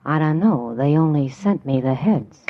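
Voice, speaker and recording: A man voicing a flirty cowgirl with deadpan wisecracks in a 1940s radio comedy. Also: on the high side, moderate pace, close to an everyday voice. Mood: flirty, wry. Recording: dull, noisy old radio recording.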